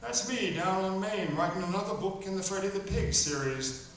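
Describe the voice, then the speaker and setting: A man's voice: sits high, lively intonation, normal speed, fairly bright tone. An actor playing a folksy children's author who narrates his story to kids on stage with playful, mock-serious asides. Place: live stage performance in a hall. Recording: echoey hall from far off, faint noise.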